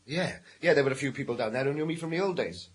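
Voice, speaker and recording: Casting: a street-hardened middle-aged robber fresh out of prison, raspy, mid-pitched, surly, for radio crime drama. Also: male voice, expressive, fast talker. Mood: cagey, matter-of-fact. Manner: surly, slangy, defensive. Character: defiant, cagey male ex-convict, street-hardened armed robber.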